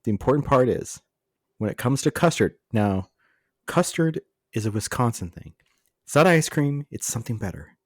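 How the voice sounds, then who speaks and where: A man's voice: mid-range voice, expressive, normal speed, no room sound, clean studio sound. Exasperated American guy ranting casually. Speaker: podcast co-host. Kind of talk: casual comedic chat.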